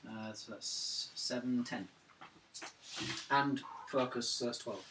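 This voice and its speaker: A man's voice: medium pitch, slow pace. A man playing a tabletop role-playing game, haggling and teasing in a dry way. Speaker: player. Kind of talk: casual play at a game table. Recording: noisy roomy recording from a distance.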